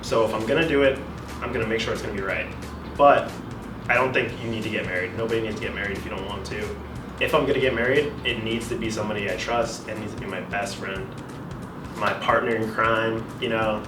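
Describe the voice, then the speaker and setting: A man's voice: lowish voice, fairly even intonation, fast talker. A man in his twenties speaking earnestly and carefully in casual chat on a studio sofa. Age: 20s. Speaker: panel guest. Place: studio set with sofa, indoors. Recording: roomy, distant, music bed.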